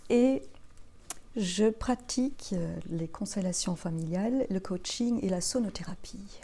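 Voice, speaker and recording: A woman in her fifties from Belgium, a native German speaker, answering interview questions as a practitioner in a measured, explanatory way. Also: mid-range voice, mellow. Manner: measured, explanatory, listing her path step by step. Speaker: guest, family constellation practitioner.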